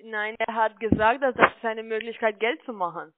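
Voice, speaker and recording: A woman with a foreign accent, a non-native speaker, arguing insistently and haltingly as a call center agent on a phone call. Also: fairly high pitch, slight background noise. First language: not German. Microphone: telephone. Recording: narrow tinny phone line.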